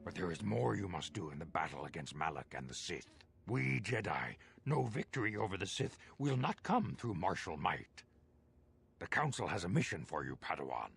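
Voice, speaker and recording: Deep, gravelly elder Jedi master. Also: male voice, big pitch swings, fairly bright tone, heavily acted. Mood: grave, commanding. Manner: formal, solemn, measured oratory. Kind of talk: video game cutscene dialogue. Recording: music behind the voice.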